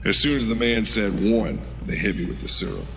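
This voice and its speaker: Calm old-school super soldier telling his story, lowish voice, music under. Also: a little fast. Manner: steady recounting, low-key storytelling. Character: Major Liberty, veteran male super-soldier recounting his past. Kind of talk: audio drama acting.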